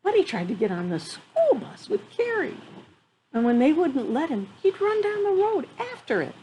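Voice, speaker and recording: A woman's voice: sits high, normal speed, rough recording. A woman in her fifties reading a picture book aloud to children outdoors, with amused, chatty asides. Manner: animated, amused, exclaiming. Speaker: children's storyteller. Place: outdoors on a wooden deck among trees. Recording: faint outdoor ambient noise.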